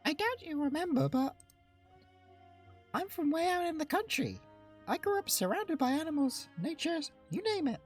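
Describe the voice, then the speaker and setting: A sweet young country girl from a dating game, speaks in a very high, girlish pitch. She is cheerful and bubbly, with an exaggerated cutesy delivery. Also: very animated, moderate pace, soft background music. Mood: cheerful, nostalgic. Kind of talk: visual novel character read aloud.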